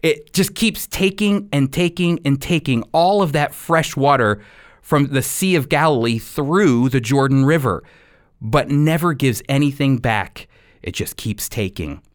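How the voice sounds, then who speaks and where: A man giving a radio devotional as a Bible teacher, with emphatic, deliberate phrasing. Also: medium pitch, expressive.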